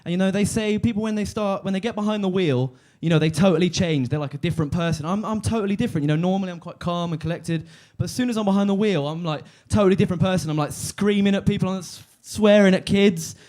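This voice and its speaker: A man in his twenties doing a deadpan stand-up set on a comedy club stage, halting with fillers and restarts. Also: high voice. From London. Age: 20s.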